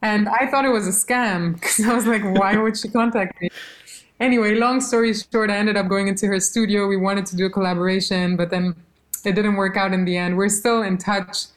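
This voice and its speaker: A woman musician being interviewed, telling stories in a lively, casual way and quoting others as she goes. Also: medium pitch, fairly quick. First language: German. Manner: animated storytelling, quotes others, laughs. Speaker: musician guest.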